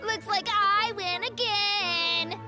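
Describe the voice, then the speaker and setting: A woman's voice: extremely high pitch, fairly even intonation, takes it slowly, music in the background. A woman voicing an eager, confident little boy with a childlike voice in an anime game. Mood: triumphant. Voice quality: childlike, bright.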